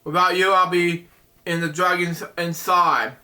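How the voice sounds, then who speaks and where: A man's voice: high voice, level tone, unhurried, sharp tone, full character voice. A man reading as a grateful storybook witch. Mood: thankful. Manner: relieved, thankful. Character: kind grateful female witch.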